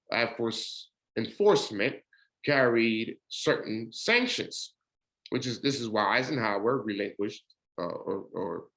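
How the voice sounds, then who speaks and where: A man of ordinary middle pitch reads a legal judgement aloud. He stumbles, restarts and repeats words, and often breaks off to comment. When he explains, his voice climbs and quickens. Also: fairly bright tone, low-quality recording. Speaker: commentator reading a court judgement.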